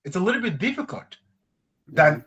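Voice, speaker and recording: A man's voice: high voice, moderate pace. A man from Congo, speaking English as a second language, talking casually with a class about learning languages. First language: not English. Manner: animated, expressive, laughs often. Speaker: guest.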